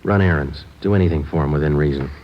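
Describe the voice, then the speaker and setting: Muffled vintage radio. hard-boiled detective sergeant, low-pitched, clipped, deadpan. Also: male voice, fast, a little hiss or hum. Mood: stern, pressing. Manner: clipped, matter-of-fact, deadpan. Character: no-nonsense male police sergeant, terse, hard-boiled, narrating his cases. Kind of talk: 1940s-50s radio police drama acting and narration.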